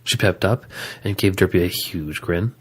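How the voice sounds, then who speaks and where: A man's voice: low voice, moderate pace, breathy edge. A man reading scripted story narration as the narrator of an audio drama.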